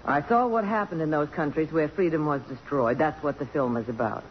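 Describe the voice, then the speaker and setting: A woman's voice: deep voice, brisk, lightly acted. An actress playing a self-possessed, principled middle-aged woman, earnest and articulate, in 1950s radio drama style. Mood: indignant, earnest. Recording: dull, faintly noisy old radio recording.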